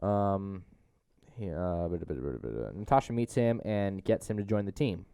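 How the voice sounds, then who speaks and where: A man's voice: low voice, expressive, moderate pace, mellow, clear, resonant tone, no echo, clean studio sound. A man hosting a podcast, chatting casually about a movie as he works through a plot summary. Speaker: podcast host.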